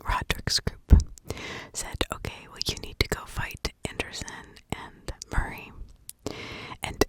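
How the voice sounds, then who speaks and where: Woman, breathy whisper, ASMR true crime narration, slow pace, short halting phrases, frequent pauses. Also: a bit bright, no room sound.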